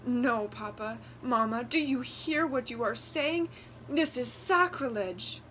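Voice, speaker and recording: A woman's voice: on the high side, animated, slow pace, a bit bright, a little hiss or hum. A young peasant girl in a fairy tale, her words catching in her throat. She sounds grieved and small beside the grand figures around her, voiced by a woman storyteller. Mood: pleading. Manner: choked, tearful.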